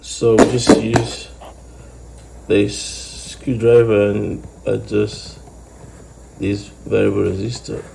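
A man explaining step by step in a halting way, as host of a hands-on electronics tutorial. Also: lowish voice, flat delivery. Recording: clear background noise with clicks.

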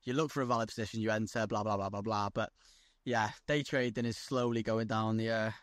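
A man's voice: lowish voice, steady tone, a little fast, no room sound, studio quality. A young man from North Wales, a guest on a video call from outdoors, talking bluntly and chattily about his trade. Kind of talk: casual video-call interview. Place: outdoors on a lawn by the coast.

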